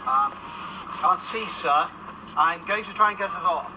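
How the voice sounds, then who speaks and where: A man's voice: high-pitched, unhurried. An actor playing a deferential male chauffeur in a radio drama. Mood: calm, hesitant. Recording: distant voice in noisy vehicle.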